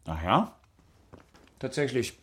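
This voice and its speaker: An actor playing a gentle, whimsical, bookish young man in intimate radio play dialogue. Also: medium pitch, sing-song, slow, background noise.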